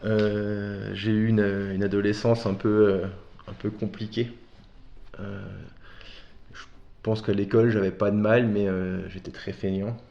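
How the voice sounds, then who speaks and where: Mellow, low-voiced man in an echoey room. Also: level tone, unhurried, gravelly. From Belgium. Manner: candid, informal, slangy. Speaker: interview guest, metalworker. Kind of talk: casual personal storytelling. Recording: dull, roomy echoing sound.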